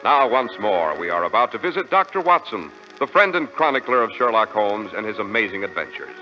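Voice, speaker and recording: A man's voice: lowish voice, big pitch swings, fairly quick, soft background music. An American man announcing and hosting in 1940s radio style, with a polished, emphatic sales delivery. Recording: dull, lo-fi old radio recording.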